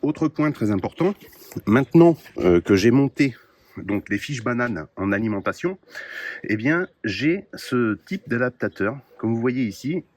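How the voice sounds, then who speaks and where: A man showing his radio kit to the camera in a casual, explanatory way, often pausing with hesitant fillers. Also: mid-range voice, lively intonation, darkish tone, a little hiss or hum. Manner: explanatory, many "euh" pauses. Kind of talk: casual gear show-and-tell.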